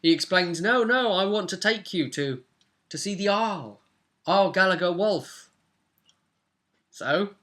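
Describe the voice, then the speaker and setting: A man's voice: high voice, lively intonation, slow, pauses often, fairly bright tone. A young man, a game master, casually recapping a tabletop roleplay session at home. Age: young adult. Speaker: game master and vidcast host. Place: small room at home, indoors.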